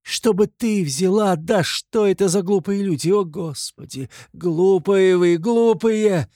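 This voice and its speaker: Man voicing a shrill, hysterical widow, pitched high. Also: animated, unhurried, no room sound, studio quality. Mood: passionate protest. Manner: frantic repetitions, accusing, pleading. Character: hysterical, fiercely protective impoverished widow, sickly, indignant. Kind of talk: dramatized literary audiobook.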